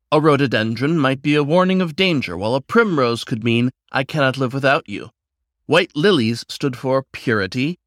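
A man's voice: medium pitch, a bit bright, dry recording. A man hosting a story podcast who gives a composed, scripted introduction. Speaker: podcast host. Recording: dry studio voice over faint music. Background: faint music.

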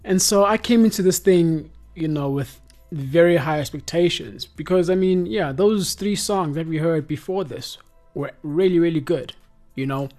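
A man's voice: a little high, normal speed, darkish tone, faint music behind. Young South African man chatting casually. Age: 20s. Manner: laid-back slangy speech with frequent "you know". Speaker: podcast host. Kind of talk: casual music review chat.

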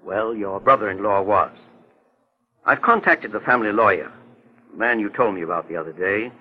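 A man playing a kindly, assured older gentleman detective in a 1940s radio drama. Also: fairly low pitch, normal speed, husky. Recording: dull lo-fi 1940s radio recording.

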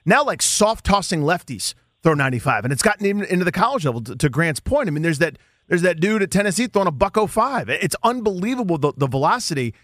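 Fast-talking, higher-voiced male radio host. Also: big pitch swings, a bit bright, no room sound, clean studio sound. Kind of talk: casual, chatty interview. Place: close dry radio studio.

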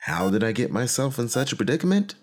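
A man's voice: mid-range voice, big pitch swings, quick pace, close to an everyday voice. A man playing a frustrated, self-justifying colonel recording a conversational personal log in an audio drama. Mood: frustrated, wry. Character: frustrated, self-justifying male military colonel, a time traveler.

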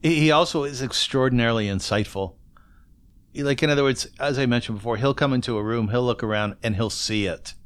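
A man's voice: mid-range voice, brisk, fairly bright tone, clean tone. A man chatting casually as an interviewer, often affirming and repeating short phrases. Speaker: podcast interviewer.

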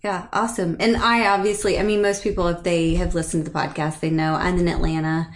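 A woman's voice: on the low side, fairly even intonation, a little fast, a little hiss or hum. A woman in her thirties hosting a podcast, leading a casual chat with friends in a chatty, easygoing way. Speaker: podcast host.

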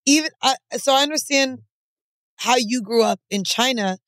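A woman's voice: high voice, moderate pace, a bit bright, dry recording, studio quality. A woman cohosting a podcast, sassy and emphatic with slangy casual talk. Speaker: podcast host.

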